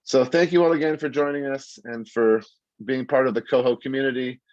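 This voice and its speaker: A man's voice: medium pitch, steady tone, a little fast, a little mellow, dry recording. Earnest man hosting a video call. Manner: earnest, with frequent fillers and restarts. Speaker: host. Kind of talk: online lecture introduction. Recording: dull dry video call audio.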